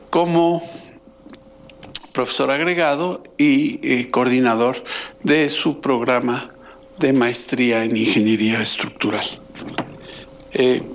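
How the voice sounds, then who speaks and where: A man giving a formal academic introduction as host, speaking in a halting, hesitant way. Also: mid-range voice. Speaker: host introducing a guest lecturer.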